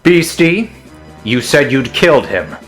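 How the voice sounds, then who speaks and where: Guy playing a steady space fighter commander, curt n matter-of-fact, audio drama, music behind. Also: medium pitch, measured pace. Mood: sharp. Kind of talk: sci-fi audio drama acting.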